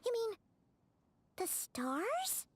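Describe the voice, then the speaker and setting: Squeaky, very high-pitched little companion girl. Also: wildly swooping pitch, drawn-out words, a little hiss or hum, exaggerated voice. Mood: flustered, chatty. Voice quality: squeaky, childlike. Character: tiny excitable floating girl companion, childlike, speaks in third person. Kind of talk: video game voice acting. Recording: game music behind the voice.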